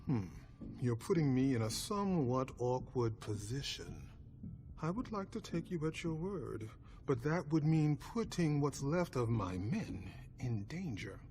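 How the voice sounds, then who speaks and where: An actor playing a suave, formal vampire clan leader in a video game, with courtly, measured politeness and quiet menace. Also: male voice, medium pitch, sing-song, slight background noise. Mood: wary, measured. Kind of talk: dramatic video game acting.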